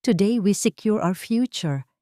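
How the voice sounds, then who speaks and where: A woman's voice: medium pitch, lively intonation, measured pace, dry recording, voice pushed up, lightly acted. A wealthy businesswoman addresses a boardroom with confident, commanding formality, as a woman narrator does her. Her voice is lifted and strong, and the recording is dry and close. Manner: loud, commanding, formal speechmaking. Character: confident, powerful rich businesswoman.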